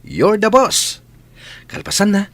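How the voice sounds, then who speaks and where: An actor playing a cheerful, generous young suitor in a radio drama, with a Filipino accent. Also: male voice, sits high, huge pitch swings, slow, fairly bright tone, heavily acted. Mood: eager, playful.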